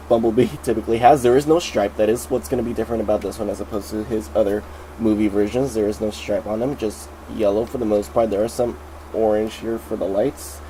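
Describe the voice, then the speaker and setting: A man with a cold and a nasal voice, chatting excitedly through a casual toy review at home. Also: lowish voice, a little hiss or hum, low-quality recording. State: sick, nasal.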